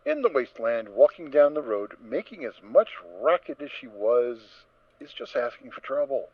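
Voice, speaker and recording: A man narrating a scripted story in a theatrical, expressive storytelling manner. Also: medium pitch, normal speed, dry recording, muffled recording.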